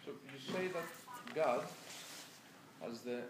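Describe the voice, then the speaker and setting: A man's voice: mid-range voice, slow, background noise. A man from Greece with a Greek accent, asking the speaker a question from the audience, hesitant and searching for words. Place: lecture room. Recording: echoey room recording.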